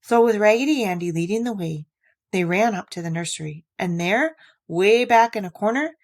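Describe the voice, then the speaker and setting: A woman narrating a children's story from a book in an expressive, deliberate read-aloud manner. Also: mid-range voice. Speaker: storybook reader.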